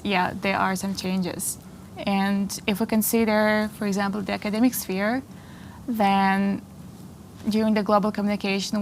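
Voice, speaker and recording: A young woman in her twenties from Kazakhstan whose first language is Kazakh, answering interview questions in a measured, slightly formal way in a studio. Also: medium pitch, a little hiss or hum, rough recording. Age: 20s. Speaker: interview guest, master's student. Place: small studio. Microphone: lapel.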